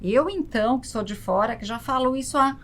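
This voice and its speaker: A woman's voice: a little high, a little fast, a bit bright. Emphatic Brazilian woman, faint background noise. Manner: emphatic, repeats key words. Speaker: podcast guest, travel business veteran. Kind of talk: conversational interview talk.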